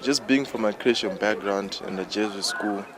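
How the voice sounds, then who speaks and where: A young man in his twenties speaks casually in an interview, with a Zimbabwean accent. His voice is on the low side, and a crowd is clearly heard outdoors behind him. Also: normal speed. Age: 20s. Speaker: triple jumper, former student.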